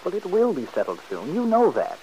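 An actor playing an impatient, cold-blooded schemer in an old-time radio soap, brusque, exasperated and condescending. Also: male voice, sits high, huge pitch swings, a little fast, dark tone, exaggerated voice, low-quality recording. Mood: wary, placating. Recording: hissy vintage radio broadcast recording.

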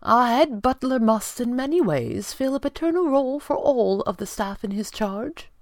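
A woman's voice: on the high side, expressive, normal speed, no echo, studio quality, pitched above the performer's own voice. A woman voicing an older male butler, deferential, formal and fussily polite in an audiobook story. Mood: earnest, dignified.

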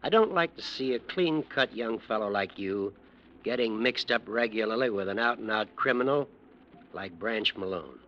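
An actor playing a stern, fatherly trial judge in 1940s radio drama, speaking formally and deliberately. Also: fairly low pitch, animated, highs cut off, close to an everyday voice. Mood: concerned.

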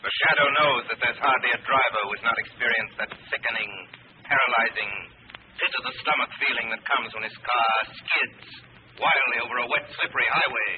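A noisy, narrow-band vintage radio recording of a male announcer with a medium-pitched voice. He reads ad copy with ominous, theatrical weight in 1930s style. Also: fairly even intonation, fairly quick. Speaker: commercial announcer. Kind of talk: dramatic 1930s radio advertisement. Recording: tinny, clearly noisy old radio recording.

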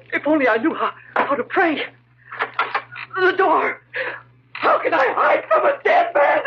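An actor playing a guilt-ridden, desperate thief and murderer, with halting, melodramatic 1940s radio delivery. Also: male voice, very high-pitched, background noise, exaggerated voice. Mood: terrified. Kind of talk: 1940s radio horror acting. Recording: muffled old radio recording.

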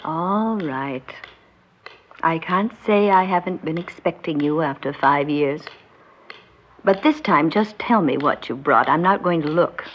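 A woman's voice: sits low, unhurried, a little hiss or hum. An actress in an old radio drama playing a vain, proud middle-aged grandmother who frets about growing old. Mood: weary, resigned. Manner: proud, fretful, theatrical. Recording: muffled old radio recording.

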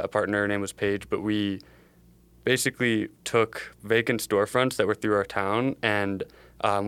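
A young man from the Chicago area speaks in a low, level voice, strikingly clean and resonant, recorded close and dry. He answers like a startup founder in a casual interview, thinking aloud in a meandering way. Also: a bit bright, clean tone, no room sound. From Chicago area, USA. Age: young adult. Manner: thoughtful, meandering phrasing.

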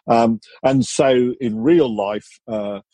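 A man's voice: medium pitch, slow, mellow, no echo. A male author explaining a case in an interview, hesitant and full of fillers. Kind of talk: conversational explanation.

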